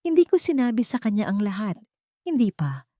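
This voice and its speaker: A woman's voice: medium pitch, sing-song, a little fast, mellow, dry recording, clean studio sound. A woman reading a scripted first-person dramatic story as its narrator.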